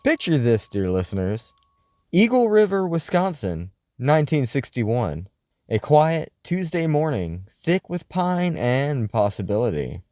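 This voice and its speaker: A man's voice: mid-range voice, big pitch swings, a little slow, mellow, no echo. A man playing a flamboyant late-night paranormal radio host, telling stories in a grandiose, wordy showman style. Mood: dramatic storytelling. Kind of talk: scripted comedic radio monologue and storytelling.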